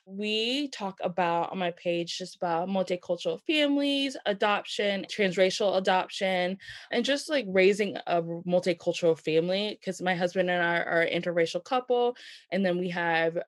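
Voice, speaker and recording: A young woman with a somewhat low voice talks earnestly and modestly about family life, chatty and warm with lots of everyday fillers. Her voice sounds close and dry, with no room around it. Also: moderate pace, no room sound. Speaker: podcast guest, mom of five. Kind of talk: casual interview chat.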